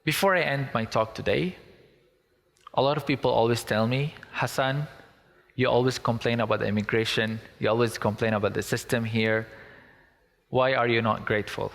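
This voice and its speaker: A man's voice: mid-range voice, normal speed, gravelly, a bit bright. A young man from Syria giving an earnest, emphatic public talk. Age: young adult. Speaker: speaker. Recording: long hall reverb.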